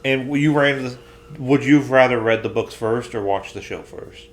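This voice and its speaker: A man's voice: medium pitch, normal speed. A man co-hosting a podcast, chatting casually and giving emphatic opinions about pop culture. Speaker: podcast co-host.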